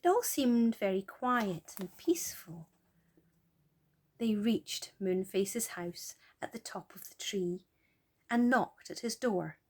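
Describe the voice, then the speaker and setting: A woman reading a children's story aloud as an expressive storyteller. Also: mid-range voice, slow pace.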